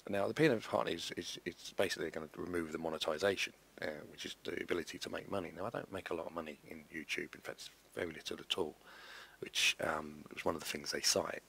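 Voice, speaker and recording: A middle-aged man, hoarse after flu, rambling in exasperation over a screen-recorded channel update. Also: deep voice, steady tone, fast, a bit bright, slight background noise. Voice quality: gravelly, husky. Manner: rambling, exasperated, many restarts. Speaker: YouTuber. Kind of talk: casual screen-recorded commentary.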